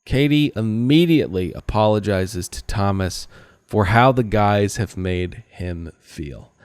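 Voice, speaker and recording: Low-voiced sarcastic man, close and dry. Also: expressive, measured pace, darkish tone, smooth, no room sound. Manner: sarcastic, mocking. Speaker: podcast co-host. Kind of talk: casual comedic TV recap chat.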